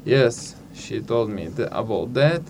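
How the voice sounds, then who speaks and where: Man guest, slow and halting, mid-pitched. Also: lively intonation, background noise. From Slovakia. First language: Hungarian. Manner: halting, searching for words. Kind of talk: casual interview answers.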